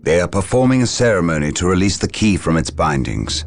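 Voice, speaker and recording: A man playing a solemn, devout space marine advisor in a video game, formal and measured. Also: deep voice, sing-song, creaky, a little hiss or hum, full character voice. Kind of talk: dramatic video game acting.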